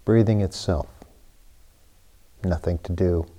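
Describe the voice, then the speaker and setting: A man leading a guided meditation, speaking in sparse, hushed phrases with long pauses between them. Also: low-pitched, steady tone. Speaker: meditation teacher.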